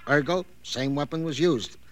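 Man playing a fussy, higher-voiced police sergeant. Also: normal speed, no echo, close to an everyday voice. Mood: officious. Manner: stilted, over-formal, officious. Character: fussy, earnest, pompous male police sergeant. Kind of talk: 1950s radio crime drama acting.

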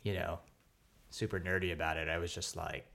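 Low, bright-voiced easygoing man. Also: level tone, fairly quick, gravelly. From New York City. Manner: easygoing, loose, peppered with "like" and "um". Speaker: podcast guest, comedian. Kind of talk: casual interview chat.

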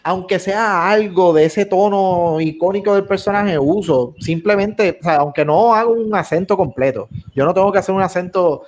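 A man's voice: sits high, moderate pace, hardly any pauses, a little hiss or hum. A man co-hosting a gaming podcast, talking casually with frequent restarts and English words mixed in. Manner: rambling, many restarts and Spanglish. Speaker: podcast co-host. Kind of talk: casual chat.

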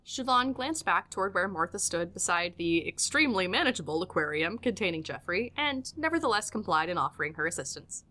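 Woman reading audio drama narration, kinda low voice but sharp and bright. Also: animated, brisk, hardly any pauses.

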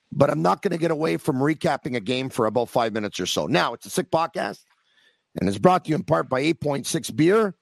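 A man's voice: on the high side, vocal fry, no room sound. A man hosting a sports podcast, talking animatedly with emphatic repetition while losing his voice. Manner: animated, emphatic, repeats phrases. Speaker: podcast host. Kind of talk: sports talk, casual.

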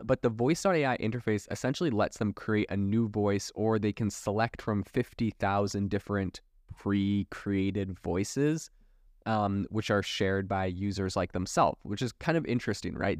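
A man's voice: lowish voice, moderate pace, no room sound, clean studio sound. A man hosting a solo tech news podcast, talking in an enthusiastic, conversational way. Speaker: podcast host.

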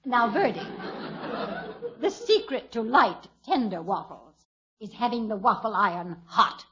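A woman's voice: fairly high pitch, lively intonation, unhurried, a crowd in the background. A woman playing a critical, bossy older mother-in-law, prim and condescending, in a 1940s radio comedy. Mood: haughty, critical. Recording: muffled old radio recording.

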